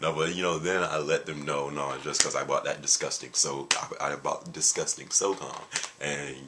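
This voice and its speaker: A tired man with a very deep voice, chatting casually in a video and rambling with jokes, fillers and restarts. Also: steady tone, normal speed, heavy background noise. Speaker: gaming video creator. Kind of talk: casual vlog chat.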